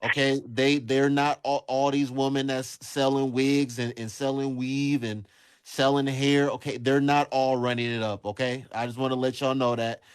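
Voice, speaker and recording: A man's voice: medium pitch, flat delivery, normal speed, smooth. A man hosting a casual call-in livestream, talking emphatically and repeating phrases to drive points home. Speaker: livestream host. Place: livestream on a social app.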